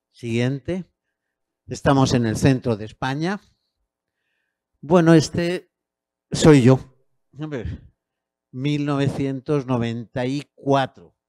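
A man in his sixties from Spain, with a Castilian Spanish accent, giving a measured academic lecture into a handheld mic in a university auditorium, with hesitant fillers. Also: medium pitch, darkish tone, no echo. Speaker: guest lecturer.

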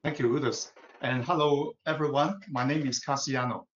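A man's voice: medium pitch, fairly even intonation. Older man with a Hong Kong accent. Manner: measured, formal. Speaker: retired government translator. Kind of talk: formal self-introduction on a video call. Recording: echoey lo-fi call audio.

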